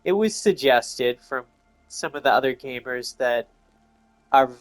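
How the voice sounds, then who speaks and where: A man's voice: mid-range voice, animated, a little slow, no echo, faint music behind. A man giving casual, rambling, explanatory commentary as he plays through a video game. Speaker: gamer narrating a playthrough.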